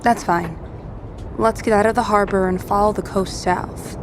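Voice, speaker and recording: An actress playing a practical, self-possessed survivor in an audio drama, matter-of-fact and terse. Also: female voice, medium pitch, fairly even intonation, moderate pace, noisy background, close to an everyday voice.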